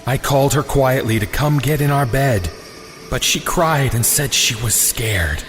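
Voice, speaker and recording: A man reading a scripted first-person horror story in a suspenseful, dramatic way with deliberate pauses. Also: mid-range voice, animated, fairly bright tone, a little hiss or hum. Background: music.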